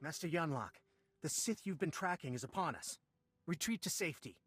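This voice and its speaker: An actor playing an eager, protective Jedi fighter in a video game, speaking with urgent, dutiful resolve. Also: male voice, on the high side, animated, brisk, fairly bright tone, husky. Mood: urgent, determined.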